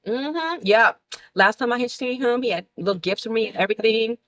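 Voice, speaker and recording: A woman's voice: a little high, animated, a little fast, a bit bright. Woman in her late thirties, brash and blunt, laughing storyteller, casual talk show chat. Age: 30s.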